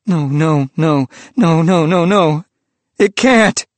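A man's voice: mid-range voice, huge pitch swings, extremely slow, creaky, a little mellow, breathy edge, no echo, voice pushed up, heavily acted. A man voicing a desperate young male priest who cries out in frantic, pleading outbursts. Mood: anguished.

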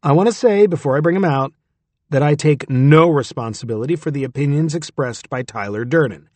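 A blunt, sardonic barwoman addressing a rowdy crowd, as voiced by a male narrator. The voice is lifted a little higher and sounds slightly husky. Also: a little fast, a little mellow, dry recording, natural voice. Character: bar owner woman, blunt and sardonic.

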